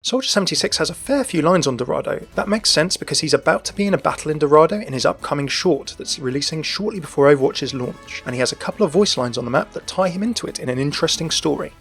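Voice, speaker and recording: Brisk, husky young male narrator. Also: fairly high pitch, lively intonation, fairly bright tone. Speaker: video game channel host. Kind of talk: scripted narration, lore commentary. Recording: dry close voice over music. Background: background music.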